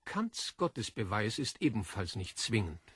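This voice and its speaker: A man's voice: lowish voice, big pitch swings, moderate pace, fairly bright tone, slightly rough, no echo, studio quality, full character voice. An actor playing a learned, self-assured male editor in an audio drama, lecturing with assurance.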